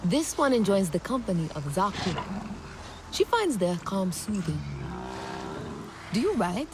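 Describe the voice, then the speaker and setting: A blunt proud horsewoman from a tribe, animated, medium pitch, game, noisy behind. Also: unhurried. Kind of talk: video game voice acting.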